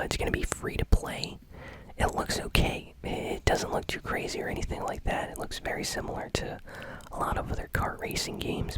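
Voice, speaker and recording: A man whispering gaming news in a relaxed ASMR style, chatty and full of fillers. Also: low-quality recording. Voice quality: unvoiced whisper. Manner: casual whisper with many fillers.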